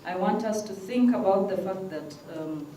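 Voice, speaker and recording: A woman's voice: medium pitch, moderate pace, mellow. Earnest woman in an echoey hall. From Uganda. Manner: earnest, insistent, repeats "I want us to think". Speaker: legal aid program worker, panel participant. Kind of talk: conference floor remarks. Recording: echoey conference hall, mic at a distance, faint noise.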